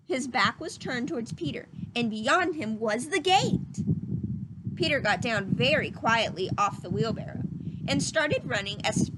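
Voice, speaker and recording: High-pitched woman reading a children's story. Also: noisy background. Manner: teacherly, explanatory, addressing children. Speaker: storyteller reading a children's picture book aloud. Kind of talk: children's read-aloud with questions to young listeners.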